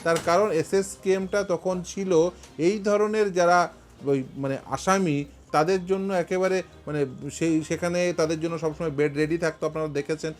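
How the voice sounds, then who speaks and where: A man's voice: on the high side, fairly even intonation, moderate pace. A man giving argumentative news panel commentary, repeating words for emphasis. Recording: faint music under the voice.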